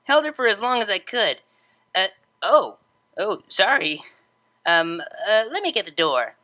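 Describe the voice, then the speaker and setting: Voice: medium pitch, very animated, measured pace, bright, forceful tone, voice pushed up. A performer voicing a flustered, apologetic young female pegasus from a cartoon fan story, stammering awkwardly.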